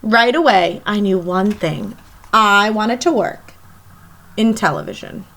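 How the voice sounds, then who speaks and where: A woman teacher reading a picture book aloud to children in an expressive, emphatic storytelling manner. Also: mid-range voice, poor audio. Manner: animated, emphatic, pauses to question young listeners.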